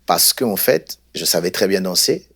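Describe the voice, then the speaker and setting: A man from Congo, a guest pastor telling his life story in an unhurried, hesitant way. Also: deep voice, sing-song, vocal fry, fairly bright tone, husky. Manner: unhurried, hesitant, many fillers.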